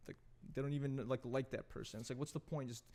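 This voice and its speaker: A man's voice: medium pitch, steady tone, a little mellow. A man in his late twenties, from Serbia, talking casually as a podcast guest, rambling with lots of "like" and "dude.". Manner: rapid rambling with constant fillers like "like" and "dude". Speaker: podcast guest, photographer and content creator.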